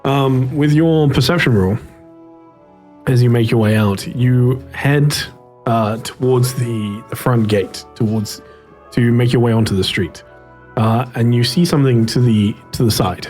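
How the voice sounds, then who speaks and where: A man running a tabletop roleplaying game as game master, explaining and narrating in hesitant, halting phrases. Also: mid-range voice, a little mellow, soft background music.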